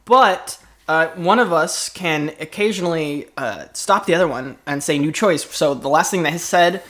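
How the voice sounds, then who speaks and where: A man's voice: a little high, moderate pace, bright, forceful tone. Dry, sarcastic male podcast co-host. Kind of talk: casual improv podcast banter.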